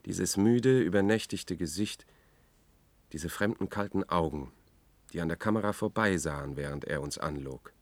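A haunted, obsessive male reporter in a science-fiction radio play. His voice is low, and he tells his story with brooding, measured intensity. It is recorded dry and close. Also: no echo. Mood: bitter, brooding. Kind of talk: German radio drama, first-person narration.

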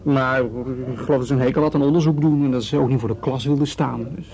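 An actor playing a young male police officer in a radio drama, calm and hesitant with a mild irony. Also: mid-range voice, fast talker, slight background noise, natural voice.

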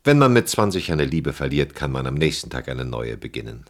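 Aging ironic lover, very deep clouded voice, world-weary, theatrical, radio drama. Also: male voice, very animated, a little fast, heavily acted. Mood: sardonic. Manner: theatrical, swinging from sardonic to brooding. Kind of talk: radio play acting.

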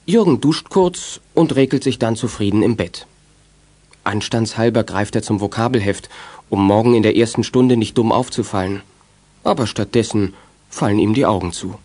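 A man's voice: on the low side, normal speed, darkish tone. A man narrating a children's audio drama as a scripted storyteller.

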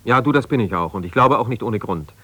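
An actor playing an aggrieved, self-justifying middle-aged husband in a naturalistic radio drama, halting and reproachful as he argues. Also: on the low side, expressive, sharp tone, husky, no echo, full character voice. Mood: aggrieved, agitated. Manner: halting, argumentative, reproachful, breaking off mid-sentence. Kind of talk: naturalistic 1970s German radio drama, one-sided conversation.

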